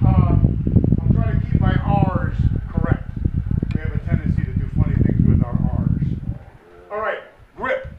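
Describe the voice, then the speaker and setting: A man's voice: high-pitched, echoey room. A man from Rhode Island with a Rhode Island accent, a golf instructor giving a chatty, joking clinic outdoors. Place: outdoors at a golf practice area. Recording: distant, muffled, noisy outdoor recording.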